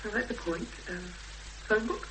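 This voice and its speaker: A woman playing an anxious but determined young woman in a 1970s radio drama, speaking hesitantly with thoughtful pauses. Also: medium pitch, natural voice. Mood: anxious, brisk. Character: anxious, determined young woman seeking her missing sister. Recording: noisy, hissy 1970s radio broadcast recording.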